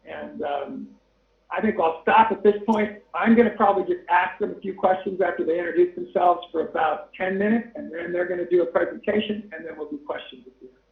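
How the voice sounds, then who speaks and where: A man's voice: high-pitched, a little fast, gravelly. A man hosting a webinar, chatting casually with many fillers. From Northern Colorado. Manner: chatty, rambling, lots of fillers. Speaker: webinar host. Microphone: video call. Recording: tinny phone-like line, roomy echo.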